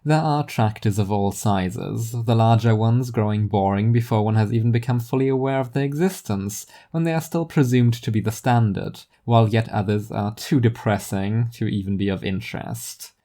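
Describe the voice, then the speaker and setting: A narrator delivers first-person fiction in a dark, mellow voice of medium pitch. The reading is scripted, wry and literary in manner. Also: moderate pace, hardly any pauses. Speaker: narrator and storyteller.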